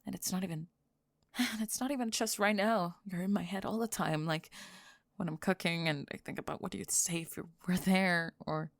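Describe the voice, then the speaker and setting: A woman's voice: medium pitch, fairly bright tone, no room sound, clean studio sound, close to an everyday voice. A woman playing a flustered young goth girl with a secret crush, rambling and stammering breathlessly in an ASMR roleplay. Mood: embarrassed confessing. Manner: rambling, stammering, breathless panicked over-explaining.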